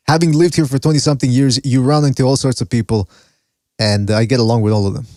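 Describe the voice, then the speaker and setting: A man's voice: medium pitch, steady tone, fast talker, a little mellow, no room sound, clean studio sound. A man from Mexico with a mixed foreign accent, hosting a solo boxing podcast and reading the live chat in a casual, rambling way with cursing and laughs. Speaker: podcast host.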